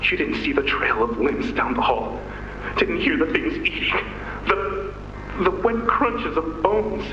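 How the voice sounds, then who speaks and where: An actor playing a terrified, trapped warden in a video game, pleading and stammering. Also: male voice, on the high side, big pitch swings, a little slow, roomy sound, highs cut off, music in the background. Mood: fearful, despairing. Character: panicked, terrified male prison warden trapped during a rebellion. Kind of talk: dramatic video game voice acting.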